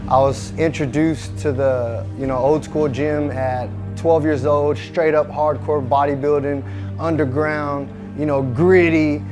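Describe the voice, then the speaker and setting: A man who owns a gym, talking casually and rough-edged about hardcore training in a documentary interview. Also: on the high side, steady tone, a little slow. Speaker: gym owner. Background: music.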